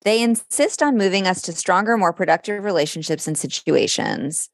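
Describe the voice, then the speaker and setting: A woman's voice: fairly low pitch, expressive, normal speed, creaky, no room sound. A woman co-hosts a chatty podcast. She talks casually and frankly, with a wry edge. The sound is dry, with no room around it. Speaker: podcast co-host. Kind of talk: casual chat about horoscopes.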